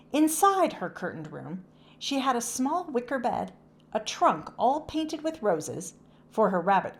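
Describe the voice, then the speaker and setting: Expressive woman reading a children's storybook. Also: fairly high pitch. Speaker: children's book read-aloud narrator. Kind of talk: scripted storybook narration for children.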